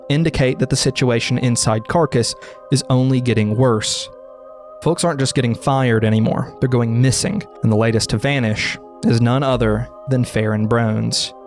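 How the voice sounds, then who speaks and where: A man narrates a horror explainer in character, reading from a script. His pitch sits in the middle of the male range. Music plays faintly behind him. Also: steady tone, moderate pace.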